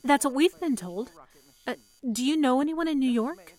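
A woman playing a polite, anxious young telephone operator in an old-fashioned radio drama, with courteous, soft-spoken reassurance. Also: high voice, animated, normal speed. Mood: sympathetic. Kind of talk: 1940s radio drama acting.

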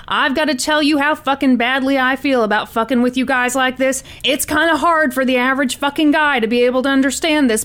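A woman's voice: sits high, a bit bright. A woman voicing an agitated, cursing male hostage-taker with a gun, reading his defiant, folksy threats from a transcript. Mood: defiant, menacing. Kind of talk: reading a call transcript aloud.

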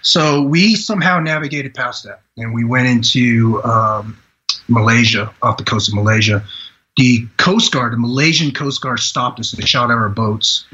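A man in his forties from Vietnam, a podcast guest telling his life story in an earnest, halting way with many restarts. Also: mid-range voice, bright, forceful tone, highs cut off. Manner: earnest, halting delivery with frequent "you know" restarts. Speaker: podcast guest, retired Green Beret. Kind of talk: personal storytelling in a podcast interview.

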